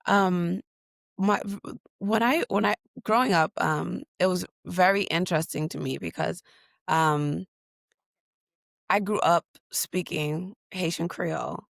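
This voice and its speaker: A woman from Boston, a guest in an interview, answering in a conversational way with many pauses, fillers and restarts. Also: lowish voice, creaky, no echo. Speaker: guest, digital equity leader.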